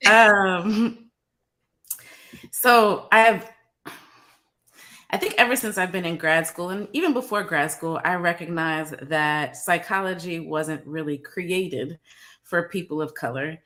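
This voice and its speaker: A woman from New York, a psychologist guest on a video call, calm and measured with frequent pauses. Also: fairly low pitch. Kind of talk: interview over video call.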